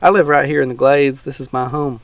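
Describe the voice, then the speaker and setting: Gruff old swamp hermit with a gravelly backwoods drawl and a raised, louder voice, read by a man. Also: medium pitch, level tone, fairly quick, dry recording, natural voice. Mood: folksy. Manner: folksy backwoods drawl, growling.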